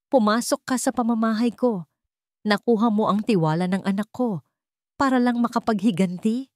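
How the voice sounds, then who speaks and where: A woman's voice: on the high side, moderate pace, darkish tone, voice pushed up, natural voice. Woman reading stern, furious rich father. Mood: cold accusing. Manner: stern, accusing. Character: furious rich father, cold, accusing, commanding man.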